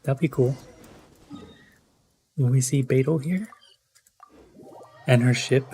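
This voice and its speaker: A young man streams a game in a mid-pitched voice. He chats casually and ramblingly, full of slang and self-deprecating asides. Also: slow, mellow, slight background noise. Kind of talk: casual live gameplay commentary.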